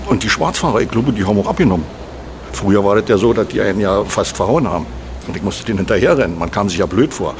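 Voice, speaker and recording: Chatty guy gushing on and on, lowish voice, noisy street behind him, radio play. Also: lively intonation, fast talker. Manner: effusive, rambling, repetitive. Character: chatty, gushing ordinary man, effusively grateful. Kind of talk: radio drama vox pop. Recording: noisy outdoor urban traffic.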